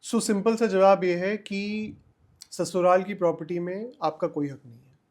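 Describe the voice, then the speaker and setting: High-voiced man explaining, emphatic. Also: fairly even intonation, a little slow. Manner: emphatic, repeats points for clarity, mixes in English terms. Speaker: legal expert guest. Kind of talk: explanatory podcast interview answers.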